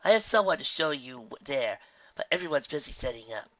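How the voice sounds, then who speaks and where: A man's voice: on the high side, very animated, brisk, sharp tone, no room sound, lo-fi sound, pitched above the performer's own voice. A man voicing a bustling, folksy middle-aged female pony mayor in a storybook reading, chatty and motherly. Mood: friendly, bustling.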